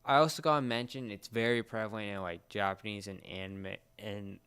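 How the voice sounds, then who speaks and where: A man with a slightly low, exceptionally clear voice co-hosts a casual entertainment chat. He explains his points haltingly, in an informal conversational style. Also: fairly even intonation, fairly bright tone. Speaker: podcast host.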